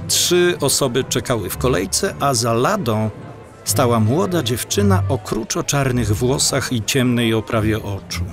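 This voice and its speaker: Casting: male storyteller for an audio drama, mid-range voice, very unhurried, measured and descriptive. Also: medium pitch. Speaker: narrator. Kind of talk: scripted audiobook drama narration.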